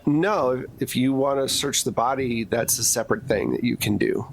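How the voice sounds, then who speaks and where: A man's voice: mid-range voice, moderate pace, creaky, a little hiss or hum, rough recording. A man narrating a tabletop roleplaying game as game master, setting scenes in a casual, conversational way.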